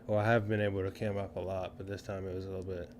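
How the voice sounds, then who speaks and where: A man's voice: sits low, flat delivery, racing. A man co-hosting a podcast in casual, informal chat, often repeating himself for emphasis. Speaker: podcast co-host, film crew member. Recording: clearly audible background noise.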